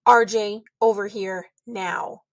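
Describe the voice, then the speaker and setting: A woman's voice: mid-range voice, fairly even intonation, takes it slowly, dry recording, natural voice. A stern mother from a children's storybook, as a woman reader puts her on. She scolds her child in a firm, disapproving way, with very little room sound around the voice. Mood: firm command. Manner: firm, admonishing.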